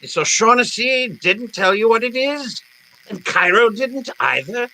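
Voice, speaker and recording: An actor playing a jovial, wordy, calculating fat crime boss in florid old-time radio style. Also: male voice, very high voice, lively intonation, gravelly, pushed and bright, slight background noise, exaggerated voice, low-quality recording. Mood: amused, probing. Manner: florid, theatrical, deliberate phrasing.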